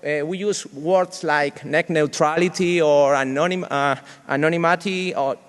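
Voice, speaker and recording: A man from Spain with a Spanish accent, whose first language is Spanish, giving a humorous conference talk in a halting, joking way with many restarts. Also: fairly high pitch, fairly even intonation, clear, resonant tone. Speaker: conference speaker. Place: large conference hall. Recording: reverberant hall sound.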